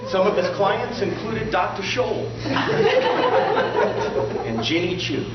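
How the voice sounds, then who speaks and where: Male comic storyteller, slightly high voice, theatrical punchline timing. Muffled, noisy live room recording from far off. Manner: theatrical, deliberate pauses for punchlines. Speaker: storyteller in a tall-tale contest. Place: indoor room before a live audience, recorded from afar. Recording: roomy, distant, muffled live recording with loud noise.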